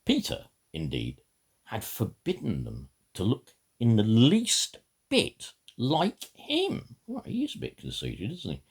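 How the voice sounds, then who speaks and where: A man's voice: medium pitch, huge pitch swings, slow pace, breathy edge. An older man reading a children's story theatrically, slipping into dry, muttered asides. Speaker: amateur storybook reader.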